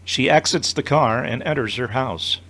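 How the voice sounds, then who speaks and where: A man's voice: medium pitch, normal speed, fairly bright tone. A man narrating the scripted action of an audio drama.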